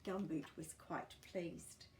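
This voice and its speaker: A woman reading a picture book aloud to young children in an animated, encouraging way, with chatty asides to the listener. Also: lowish voice, takes it slowly, reverberant, slight background noise.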